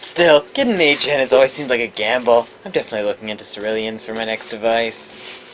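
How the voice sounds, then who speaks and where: Woman reading low-voiced, hesitant, geeky college sophomore. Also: fast talker, a little hiss or hum, rough recording. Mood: chatty, opinionated. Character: nervous, geeky college sophomore keen on VR gear.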